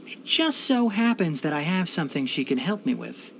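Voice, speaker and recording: A man voicing a clever young forest scholar in a video game, articulate, matter-of-fact and gently chiding. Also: on the high side, sing-song, moderate pace, gravelly, a little hiss or hum, full character voice. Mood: calm, instructive. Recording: game music behind the voice.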